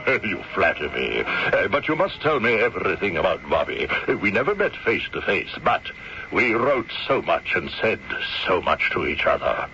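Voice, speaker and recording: An actor playing a courtly, sly man posing as a gem professor in a radio mystery drama, theatrical and measured. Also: low-pitched, big pitch swings, sharp tone, full character voice. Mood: charmed, courtly. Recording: dull, slightly noisy old radio recording.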